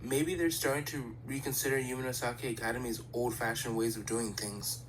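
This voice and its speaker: A man's voice: medium pitch, steady tone, a little fast, a little hiss or hum, close to an everyday voice, low-quality recording. Man voicing a serious, pedantic schoolboy idol. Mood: explanatory. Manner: formal, pedantic, matter-of-fact. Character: serious, studious, fussy teenage boy idol. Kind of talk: amateur anime fandub acting.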